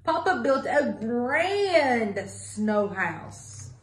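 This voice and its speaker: Slow, high-pitched woman reading to children. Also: big pitch swings. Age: middle-aged. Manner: sing-song, deliberate, asks listeners questions. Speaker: library story time reader. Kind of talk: picture-book read-aloud for young children. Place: small indoor room with block walls. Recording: echoey small room.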